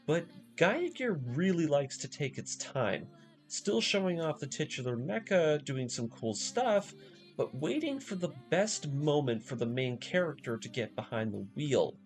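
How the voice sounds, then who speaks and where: A man giving scripted narration in the manner of a video essay, telling a story dramatically with emphatic pauses. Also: a little high.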